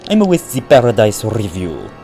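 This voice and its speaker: A man's voice: lowish voice, wildly swooping pitch, normal speed, vocal fry, warm tone, exaggerated voice. A man playing a pushy, brash, needling school newspaper reporter in an audio drama. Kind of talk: podcast audio drama acting. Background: music.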